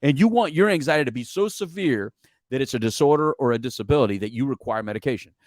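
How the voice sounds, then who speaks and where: A man's voice: mid-range voice, lively intonation, quick pace, a little mellow, no echo. Emphatic man preaching advice, dry sound. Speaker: podcast co-host. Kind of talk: casual advice talk.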